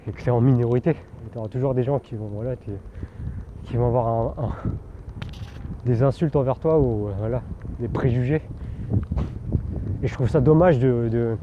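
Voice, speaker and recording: A man born in France, talking candidly while riding a bike outdoors, rambling with many fillers and restarts. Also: medium pitch. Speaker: vlogger. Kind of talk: casual spoken monologue. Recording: dull outdoor recording with background noise.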